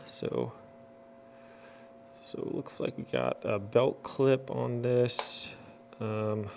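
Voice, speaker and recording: A man's voice: mid-range voice, gravelly, a little mellow, a little hiss or hum. A man hosting a casual product unboxing, chatting in a folksy way and muttering to himself as he works. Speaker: unboxing video host.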